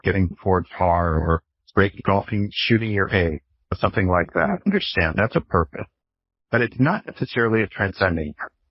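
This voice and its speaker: A man's voice: lowish voice, no room sound, highs cut off. A man in his fifties, a professor explaining ideas conversationally as an interview guest, using examples and rhetorical questions. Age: 50s. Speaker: professor, author and guest expert. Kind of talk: explanatory interview talk with illustrative anecdotes.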